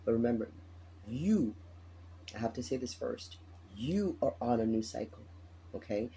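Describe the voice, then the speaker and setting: Male tarot reader, raspy and tired, mellow low timbre, loose conversational delivery. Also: expressive, normal speed, slight background noise. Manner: chatty, repeats phrases, laughs often. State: tired, morning voice. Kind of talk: casual spoken tarot reading.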